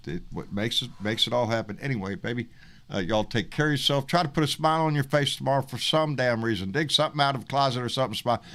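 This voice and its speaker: A man's voice: medium pitch, a bit bright. A man hosting a casual live-stream, folksy and rambling, repeating his words. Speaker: host.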